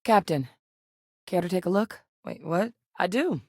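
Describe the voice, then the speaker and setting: An actress playing a composed, capable female scientist in a game, speaking in a measured, matter-of-fact, dry way. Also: on the low side, expressive, a little mellow, no echo. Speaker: scientist working alongside the captain. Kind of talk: game character voice lines.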